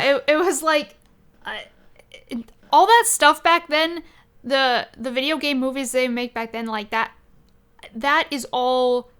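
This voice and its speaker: A woman with a somewhat high voice in a relaxed podcast discussion. She gives her opinions bluntly, in everyday conversational speech. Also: clean tone. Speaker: podcast co-host. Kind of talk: casual discussion podcast chat.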